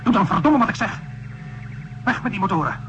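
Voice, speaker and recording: An actor playing an impatient spaceship pilot in a radio drama, giving curt, urgent orders over a radio link. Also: male voice, high-pitched, big pitch swings, brisk, loud music behind the voice. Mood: urgent, forceful. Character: commanding, impatient male spaceship pilot under pressure. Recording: narrow, noisy radio-transmission sound.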